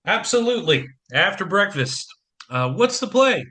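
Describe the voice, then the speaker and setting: Man playing a harried businessman father, audio drama, sharp bright tone, higher pitch, dry sarcastic humor, affectionate. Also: sing-song, moderate pace, exaggerated voice. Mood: affectionate, wry. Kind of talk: audio play acting.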